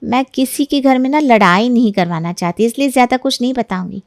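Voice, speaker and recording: A woman's voice: on the high side, brisk, no echo, clean studio sound, natural voice. A woman playing a jealous young married neighbour, falsely sweet and sly, in a dramatized moral story. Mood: sly, insinuating. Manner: sly, insinuating, mock-hesitant.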